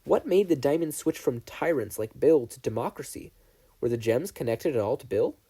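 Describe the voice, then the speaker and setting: A man narrating fan fiction from a script in an expressive storytelling style. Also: medium pitch, moderate pace, husky. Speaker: podfic narrator.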